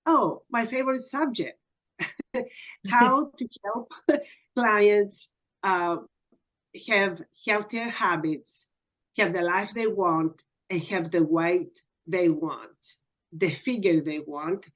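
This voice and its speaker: A woman in her sixties from Greece, a guest hypnotherapist chatting and telling her story in a podcast interview. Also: medium pitch. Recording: muffled lo-fi remote audio.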